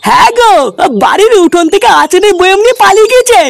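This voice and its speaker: A performer voicing a squeaky, hurried tattling sidekick in a village comedy cartoon. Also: expressive, vocal fry, slight background noise, full character voice. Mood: eager complaint.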